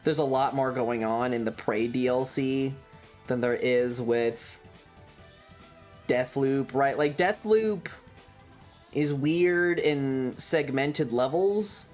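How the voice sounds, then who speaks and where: Video game streamer, casual livestream chat, medium pitch, rambling, blunt, sweary, background music. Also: slow pace, darkish tone.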